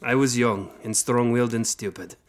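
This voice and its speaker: An actor playing a weathered knight who recounts his childhood in formal, measured phrases, in audio drama. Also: medium pitch, steady tone, natural voice. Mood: reflective.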